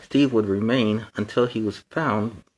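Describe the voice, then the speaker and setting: A man's voice: lowish voice, measured pace, darkish tone, slight background noise, poor audio. A man in a superhero persona reading a children's storybook aloud, with chatty, playful asides between the passages.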